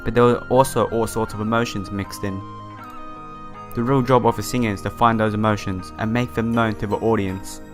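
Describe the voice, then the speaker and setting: A man's voice: on the low side, steady tone, fairly quick. A man with a sore, slightly broken voice doing a casual game playthrough, reading visual novel text aloud and adding his own comments. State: sore throat. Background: game music.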